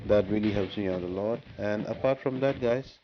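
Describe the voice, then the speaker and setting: A man's voice: lowish voice, moderate pace, highs cut off, a little hiss or hum. A man who hosts a gaming channel, giving casual, instructional walkthrough commentary and talking directly to his viewers. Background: music.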